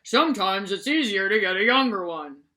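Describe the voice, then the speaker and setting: A woman's voice: medium pitch, expressive, moderate pace, pushed and bright. A woman voicing a blunt male farmer in a children's storybook.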